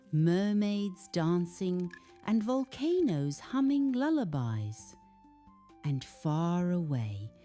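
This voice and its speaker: Female bedtime storyteller, soothing and expressive, mellow tone, very varied pitch. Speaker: children's bedtime story narrator. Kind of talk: scripted storytelling narration.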